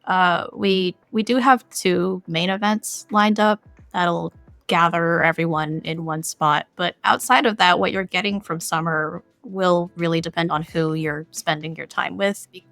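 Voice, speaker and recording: A woman's voice: on the low side, fairly even intonation, a little slow, creaky, a bit bright, no echo, faint music behind. A woman explaining her creative work as a guest in a casual interview.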